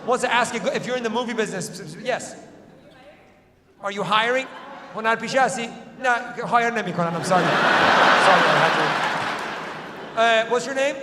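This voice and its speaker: High-voiced man doing stand-up crowd work. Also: normal speed, pauses often, noisy background. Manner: bantering, teasing audience members, bursts into shouts. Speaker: stand-up comedian. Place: large theater with live audience. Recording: echoey theater hall, loud crowd.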